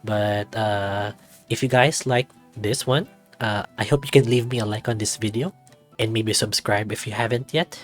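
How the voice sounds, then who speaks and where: A man's voice: on the low side, steady tone, moderate pace, faint music behind. A man hosting a visual novel read-through, narrating and commenting casually. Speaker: video host and visual novel reader.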